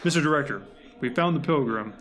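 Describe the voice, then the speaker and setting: A man's voice: medium pitch, expressive, fast talker, heavy background noise, close to an everyday voice. A man playing a stern, by-the-book agent in an audio drama, curt, dry and commanding.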